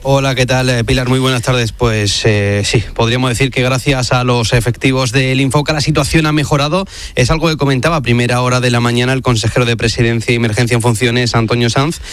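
A man's voice: mid-range voice, fairly even intonation, fast talker, clear, resonant tone, no echo. A man reporting for radio news, conversational with frequent hesitations. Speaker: field reporter.

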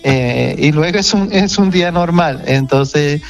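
A man from Portugal with a Portuguese accent, speaking as a guest musician in a relaxed interview, talking frankly and reflectively. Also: on the high side, measured pace, creaky, soft background music. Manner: frank, reflective, self-correcting. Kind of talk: casual interview chat.

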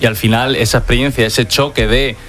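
A man's voice: mid-range voice, steady tone, fairly quick, a bit bright. A young man from Madrid co-hosting a radio talk show, chatty and friendly. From Madrid, Spain. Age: young adult. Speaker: radio co-host.

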